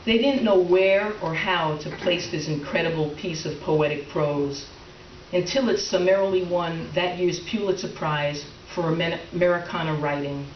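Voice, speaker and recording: A woman's voice: lowish voice, moderate pace. A woman reading scripted narration as the introducer of a staged piece. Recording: echoey room, distant mic, dull, faint noise.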